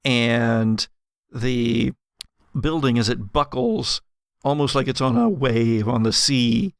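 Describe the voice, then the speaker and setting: A man narrating a tabletop role-playing game as game master, telling the story dramatically with deliberate pauses. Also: mid-range voice, clear, resonant tone, no room sound, studio quality. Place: dry home studio.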